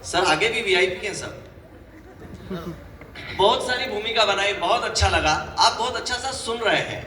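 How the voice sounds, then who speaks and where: A man's voice: high voice, lively intonation, moderate pace, sharp tone, reverberant, big-room sound, recorded from a distance. A man performing as a poet on stage, making wry jokes at the audience. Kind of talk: stage comedy banter. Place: large hall with live audience.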